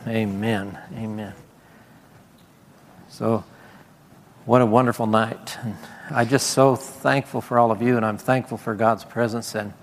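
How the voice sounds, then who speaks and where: Gravelly fervent preacher man, hall echo. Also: mid-range voice. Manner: fervent, repetitive praying. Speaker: visiting preacher. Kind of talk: prayer and sermon. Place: church service. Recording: reverberant church hall.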